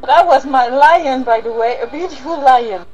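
A woman's voice: high voice, normal speed, a little mellow, highs cut off, soft background music. A woman from France, chatting politely but gushing in a casual fan commentary.

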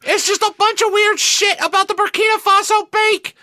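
A man co-hosting a podcast in casual comedic chat, exclamatory and quick to swear. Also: extremely high pitch, fairly even intonation, sharp tone, dry recording. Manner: profane, exclamatory. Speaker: podcast co-host.

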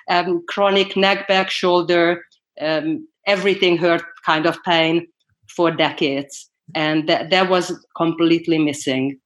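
A woman speaks in a fairly low voice. She tells her story earnestly as an interview guest, pausing and restarting now and then. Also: fairly even intonation. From Hungary. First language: Hungarian. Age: 60s. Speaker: guest dentist.

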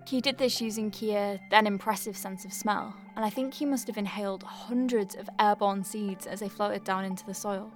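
A woman's voice: medium pitch, steady tone, moderate pace, few pauses, soft background music, natural voice. A woman playing an earnest, wry doctor in an audio drama, thinking aloud in a rambling, conversational way as if dictating notes. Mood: thoughtful, explanatory. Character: earnest young woman alien-clinic doctor, flustered and wry. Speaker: doctor dictating a patient letter.

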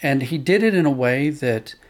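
A man's voice: medium pitch, expressive, normal speed. A man talking thoughtfully as an interview guest, explaining with emphasis on key words. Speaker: interview guest, audio drama producer.

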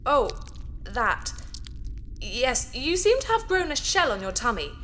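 A woman voicing a small, innocent, excitable teddy bear companion in a science fiction audio drama, recorded in a noisy, reverberant space. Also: high-pitched, a little slow, full character voice. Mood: bright, excited.

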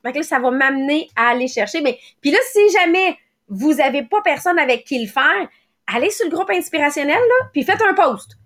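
A woman in her late thirties with a Quebec French accent, hosting a motivational morning podcast in a quick, conversational way. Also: sits high, animated, fairly bright tone. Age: late 30s. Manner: quick, conversational, acts out little quoted thoughts. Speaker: podcast host.

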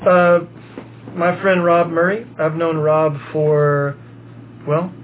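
A man co-hosting a podcast, rambling casually with many fillers and halting restarts. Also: on the high side, fairly even intonation. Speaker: podcast co-host. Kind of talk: casual chat. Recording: lo-fi phone-like sound with faint hiss.

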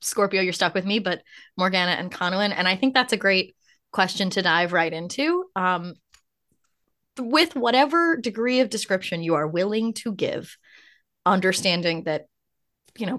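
A woman's voice: lowish voice, moderate pace, a bit bright. A woman hosting a podcast, welcoming guests and guiding the talk with questions. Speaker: podcast host. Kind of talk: casual podcast chat.

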